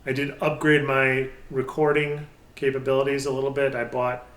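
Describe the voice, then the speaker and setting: A man with a mid-range voice speaks casually, like a hobbyist hosting his own review channel. He talks without a script, halting and working out his thoughts as he goes. The recording is noticeably echoey, with the room clearly audible around his voice. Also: medium pitch, completely flat delivery, a bit bright. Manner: halting, thinking aloud. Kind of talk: casual unscripted product talk. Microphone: pair of budget stereo microphones. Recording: echoey, roomy sound.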